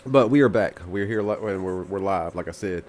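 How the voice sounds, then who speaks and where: A laid-back man with a lowish voice talks loosely through a live music review stream, still stuffy and congested from a bout of flu. He rambles and swears casually, and faint noise sits behind him. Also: normal speed, darkish tone. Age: adult with children.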